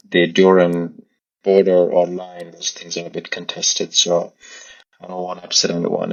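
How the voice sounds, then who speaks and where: A man speaks very low and with little melody, his voice full of creak. He is an interview guest who picks his words carefully and hesitantly. The recording is slightly dull. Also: a bit bright. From Pakistan. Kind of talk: conversational personal recollection.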